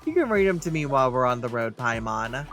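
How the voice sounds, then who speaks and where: A man's voice: mid-range voice, big pitch swings, fairly quick. A man hosting a let's play, reading game text aloud and commenting casually. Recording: game music behind the voice.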